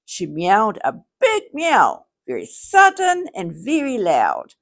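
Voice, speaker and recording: A woman reading a children's storybook aloud in a theatrical, deliberate way. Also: mid-range voice, huge pitch swings, vocal fry, fairly bright tone. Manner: slow, theatrical, deliberate phrasing. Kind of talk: expressive scripted storybook read-aloud.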